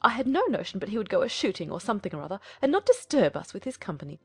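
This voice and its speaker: A woman playing a fussy, excitable middle-aged mother in a dramatized audiobook, exclaiming and easily vexed. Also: medium pitch, rapid-fire, breathy edge, no room sound, faint music behind. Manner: exclamatory, gushing complaint.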